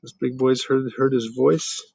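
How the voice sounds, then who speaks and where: A man's voice: medium pitch, little pitch movement, moderate pace, creaky, mellow, breathy edge, rough recording. A middle-aged man reading a children's story aloud expressively, with dramatic questioning asides to young listeners. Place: outdoors in a garden.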